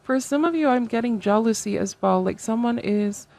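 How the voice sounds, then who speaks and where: A woman's voice: on the high side, normal speed, creaky, a little mellow, no echo. A woman hosting a conversational tarot reading, speaking to the viewer in a reflective, advisory way and often checking in with short tags. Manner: reflective, advisory, seeks agreement with tags like okay and right. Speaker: tarot reader, host.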